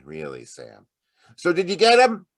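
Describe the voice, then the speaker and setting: A man playing a puzzled, slightly slow-witted homicide lieutenant in an old-time radio detective drama. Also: sits high, huge pitch swings, no echo, clean studio sound, full character voice.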